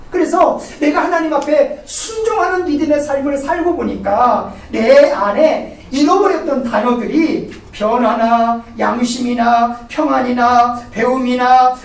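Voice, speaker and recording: A woman leading a spoken congregational prayer, pleading fervently with repeated, slowed, emphatic phrases. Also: sits high, darkish tone. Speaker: prayer leader. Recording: very echoey, distant from the mic.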